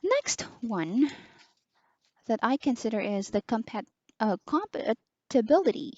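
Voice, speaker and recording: Slow, soft-spoken woman. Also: very animated, highs cut off, a little hiss or hum. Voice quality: soft low voice. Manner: slow, hesitant, many pauses and fillers. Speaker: online teacher reviewing a headset. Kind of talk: casual product review.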